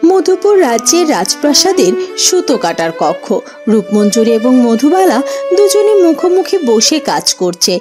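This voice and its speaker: A woman's voice: sits high, very animated, normal speed, over music. A woman giving scripted narration for a fairytale story as its narrator.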